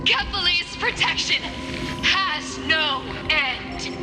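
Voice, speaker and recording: A woman's voice: very high-pitched, slow pace. An actress playing a solemn oracle in a video game, intoning her words as declarations. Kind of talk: dramatic game voice acting. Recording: echoey, under loud music.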